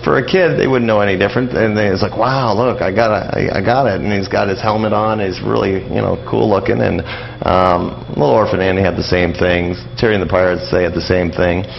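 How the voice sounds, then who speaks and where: A middle-aged man with a very low, creaky voice talks as a radio interview guest. He explains and reminisces casually, rambling and repeating himself in halting runs. The sound is dull and slightly noisy. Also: steady tone. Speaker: interview guest, old-time radio enthusiast.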